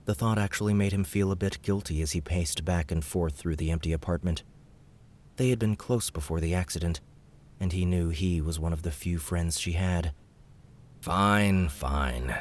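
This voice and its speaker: A man narrating an audiobook in a measured, even storytelling voice. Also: sits low.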